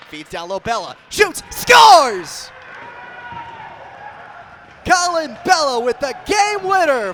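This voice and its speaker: A man doing radio play-by-play sports commentary in clipped running descriptions, ready to burst into excited calls. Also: very high-pitched.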